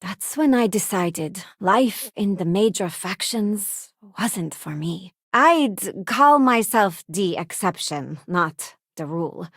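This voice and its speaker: A woman's voice: medium pitch, lively intonation, takes it slowly, hardly any pauses, slightly rough, no room sound, studio quality, full character voice. Earnest, idealistic spacefaring ex-deputy woman. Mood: thoughtful, critical. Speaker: video game companion character. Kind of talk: acted video game voice lines.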